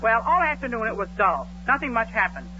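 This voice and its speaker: A boyish young male simpleton, very high in pitch with lively swings. He is gullible, eager and cheerfully cocky, in 1940s radio comedy style, on a muffled, noisy old broadcast. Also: brisk. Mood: proud. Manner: eager, naive, excitable.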